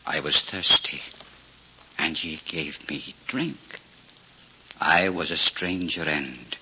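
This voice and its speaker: On a muffled, hissy 1940s radio recording, a low-voiced old cobbler, humble and pious. He muses slowly to himself, in an old radio play manner. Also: sharp tone, exaggerated voice. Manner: slow, musing, talking to himself. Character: humble, pious old shoemaker named Martin, reflective. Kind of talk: 1940s radio drama acting.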